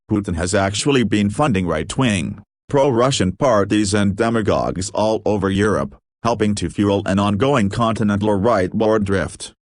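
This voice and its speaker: Guy narrating a news special, lowish voice, steady formal read, dry close sound. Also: dry recording.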